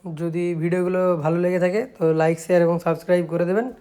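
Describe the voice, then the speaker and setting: Fast-talking West Bengali man, informal product pitch. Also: flat delivery, dark tone, smooth, faint music behind. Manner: repetitive sales talk with frequent check-in tags. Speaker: shop owner presenting own speakers. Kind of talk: informal product showcase vlog.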